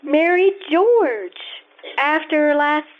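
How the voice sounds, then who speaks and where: A woman's voice: high-pitched, lively intonation, drawn-out words, exaggerated voice. A woman playing a nosy young girl in an old-time radio play, coyly and hesitantly telling tales with dramatic relish. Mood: coy, tattling. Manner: coy, hesitant, storytelling. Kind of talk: old-time radio acting, live stage performance. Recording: thin, phone-like lo-fi stage recording.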